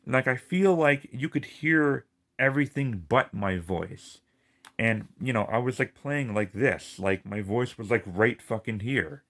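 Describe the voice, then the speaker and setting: A man's voice: mid-range voice, expressive, a little slow, fairly bright tone. A man with a lisp ranting casually and in frustration to his video audience, rambling and swearing as he talks. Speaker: gaming video creator.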